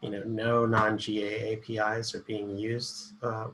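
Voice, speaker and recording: Low-voiced man explaining on a call. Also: monotone, measured pace, a bit bright. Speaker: meeting participant. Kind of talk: casual meeting chat. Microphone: video call.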